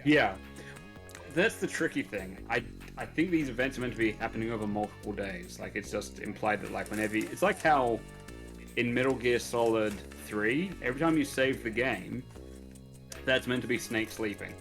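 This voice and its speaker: A man with a somewhat low voice talks through a video game in a loose, rambling way. He lets his sentences fade quietly as he thinks aloud. Soft game music plays faintly underneath. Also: normal speed, creaky. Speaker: let's play co-commentator. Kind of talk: casual game commentary.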